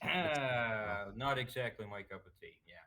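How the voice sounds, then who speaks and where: A man in his thirties from Russia, talking casually on a video call stream, hesitant with many fillers and throat clearing. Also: medium pitch, lively intonation, a little slow, pushed and bright. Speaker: guest. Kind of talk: casual chat.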